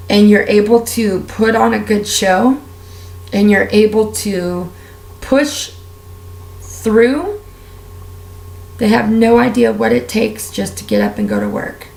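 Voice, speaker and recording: A woman with a raspy, strained voice, talking candidly and at length while doing her makeup for a vlog. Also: fairly high pitch, darkish tone, echoey room, low-quality recording. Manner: candid, heartfelt, rambling with fillers. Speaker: beauty vlogger. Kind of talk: casual personal rant while doing makeup.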